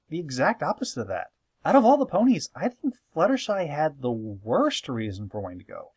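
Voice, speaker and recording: A man's voice: fairly high pitch, no room sound. A man hosting a scripted opinion commentary, speaking in an emphatic, conversational and playfully sarcastic way. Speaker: host of a fan opinion video.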